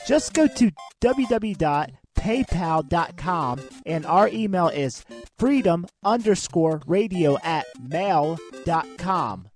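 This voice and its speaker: A man's voice: high voice, a little mellow. A man hosts an internet radio station and reads a casual promo. His intonation swings widely and animatedly, with faint music underneath.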